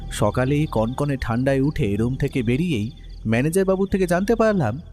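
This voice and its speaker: An actor playing a detective's curious, slightly anxious friend, telling the story expressively. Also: male voice, on the high side, warm tone. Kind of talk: dramatised first-person story narration. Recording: background music under the voice.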